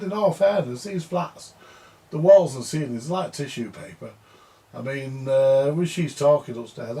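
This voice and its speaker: An older man with a rough, gravelly voice sitting a touch high for his age. He rambles through a casual monologue, grumbling, circling back and repeating himself, with the odd swear word thrown in. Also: a little mellow. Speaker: vintage audio repairer.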